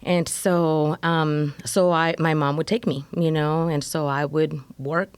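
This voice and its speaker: A middle-aged woman from El Salvador, telling her story in a relaxed interview and filling her talk with "you know.". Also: low voice, unhurried, clear, resonant tone, dry recording. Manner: rambling, many fillers like "you know". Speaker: interview guest, foster mom. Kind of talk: casual conversational interview.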